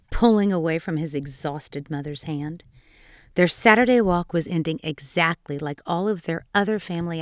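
A woman narrating a scripted dark fairy tale as a storyteller, with a dramatic, expressive delivery. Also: fairly low pitch, no echo, studio quality.

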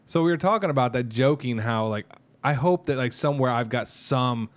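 A man in his thirties chats on a podcast, telling stories in a jokey, animated way. His voice sits at an ordinary middle pitch, and he keeps the talk loose and casual. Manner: jokey, animated storyteller who does quick impressions. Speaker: podcast co-host. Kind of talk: casual chat.

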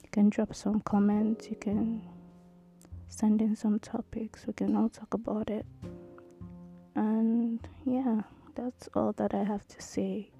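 A woman in her thirties with a tiny, soft voice hosting her own podcast, talking hesitantly with many ums. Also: mid-range voice, level tone, takes it slowly, creaky, faint music behind. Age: 30s. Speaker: podcast host. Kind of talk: casual solo podcast intro.

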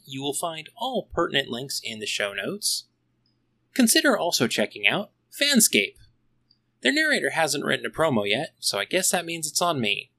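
A man's voice: medium pitch, bright, forceful tone, rough recording. A man hosting a solo podcast, delivering a scripted review with wry, tongue-in-cheek asides. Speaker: podcast host reviewing comics.

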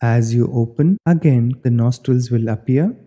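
A man leading a guided meditation as an instructor, giving measured, step-by-step directions. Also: mid-range voice, mellow. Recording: long hollow reverb.

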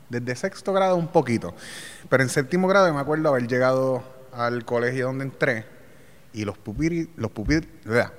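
A man's voice: medium pitch, in a large hall. A man hosting a casual interview, chatty and laughing often, mixing in English words. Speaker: podcast host.

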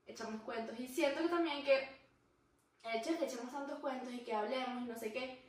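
High-voiced woman podcast co-host, rambling and easygoing, recorded off-mic in a roomy space. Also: a little fast, far from the mic. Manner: laughs easily, talks in long rambling runs with restarts. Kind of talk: casual chat. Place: room where the podcast is filmed. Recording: very echoey room, mic set back.

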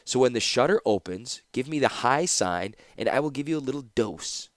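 Man voicing a sardonic woman torturer, wide-swinging pitch. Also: normal speed, dry recording, clean studio sound, natural voice. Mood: brisk, teasing. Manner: mocking, calmly menacing. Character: cruel, breezily sardonic red-haired woman torturer.

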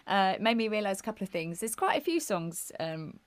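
A woman's voice: medium pitch, big pitch swings, brisk, dry recording. A woman, co-hosting a music podcast in casual, laughing chat with frequent restarts. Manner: chatty, laughing, many restarts. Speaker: podcast co-host.

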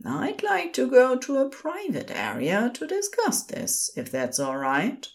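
Woman voicing a prim, high-pitched, soothing male nurse. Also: unhurried, vocal fry, heavily acted. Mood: courteous, patient. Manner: polite, formal, calm.

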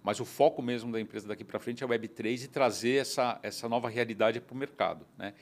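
A middle-aged man from São Paulo, telling his story as a guest in a casual conversation, animated and often restarting. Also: medium pitch, a little fast. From São Paulo, Brazil. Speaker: guest entrepreneur.

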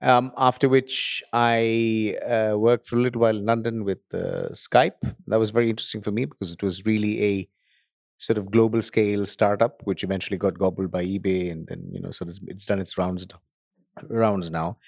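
A man's voice: on the low side, steady tone, normal speed, creaky, no echo, clean studio sound. A middle-aged man talking casually as a podcast guest, rambling with many restarts. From grew up in Tanzania and Nigeria. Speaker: podcast guest, data center company founder. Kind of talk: casual interview talk.

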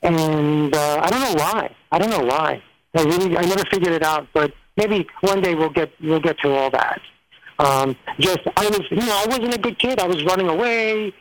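A man's voice: on the high side, normal speed, slight background noise, rough recording. A man, telling his life story as an interview guest, rambling with many fillers and restarts. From New York.

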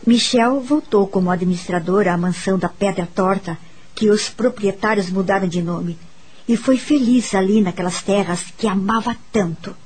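An actress playing a mature, confident, happy woman telling her life story in a measured, reflective way in a Brazilian radio soap opera. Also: medium pitch, darkish tone, slight background noise, close to an everyday voice. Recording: music behind the voice.

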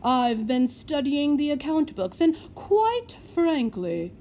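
A woman voicing a haughty, stiff aristocratic gentleman who speaks slowly and commandingly. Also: high voice, big pitch swings, a little hiss or hum, pitched above the performer's own voice, exaggerated voice.

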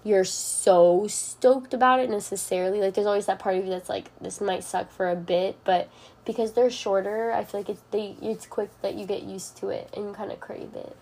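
A woman's voice: mid-range voice, fairly even intonation, normal speed, gravelly, darkish tone. A young woman chatting casually in a bedroom, upbeat and filling her speech with "like". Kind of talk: casual chat.

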